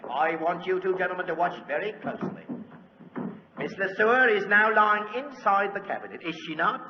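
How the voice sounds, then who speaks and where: A man playing a pompous, flustered stage magician with florid, halting showman patter in 1940s radio style. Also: high voice, expressive. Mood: grandiose. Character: pompous, flustered music-hall stage magician addressing his audience. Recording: echoey, dull, noisy old radio recording.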